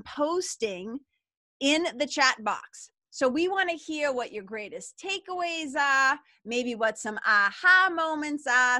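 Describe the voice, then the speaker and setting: A middle-aged woman with a Boston accent, co-hosting a live webinar and chatting warmly with viewers in the chat. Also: high-pitched, no echo. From Massachusetts. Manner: bubbly, chatty, enthusiastic. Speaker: webinar co-host.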